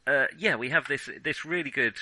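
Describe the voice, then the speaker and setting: Bright, crisp-edged man talking film, halting delivery. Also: mid-range voice, dry recording. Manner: hesitant, halting, restarts phrases often. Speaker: film podcast co-host. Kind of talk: casual film discussion.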